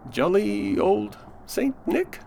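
A woman's voice: mid-range voice, very animated, takes it slowly, creaky, heavily acted. A woman acting a genial, hesitant, wholesome male lawyer in a comic radio play, over faint noise. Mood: excited. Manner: wholesome, hesitant, old-fashioned. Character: earnest, genial, awkwardly hinting gentleman lawyer neighbor.

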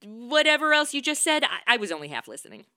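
Bright-voiced, sarcastic young woman. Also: on the high side, quick pace, clean tone. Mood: teasing. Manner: deadpan quips, slangy, flippant. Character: sarcastic, self-absorbed young woman minding a child. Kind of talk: comedic radio play acting.

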